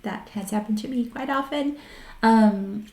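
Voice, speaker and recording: A woman's voice: on the high side, measured pace, mellow, a little hiss or hum. A woman from Brazil with a Brazilian Portuguese accent hosting a solo talk on research methods, frank and reflective, often stopping to restart her words. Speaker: host. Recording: roomy echo.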